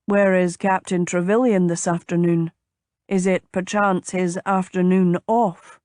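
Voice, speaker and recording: A woman voicing a courteous, gallant gentleman suitor in an audiobook, measured and formal in manner. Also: fairly low pitch, level tone, no room sound, clean studio sound, lightly acted. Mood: serious. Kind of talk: audiobook character dialogue.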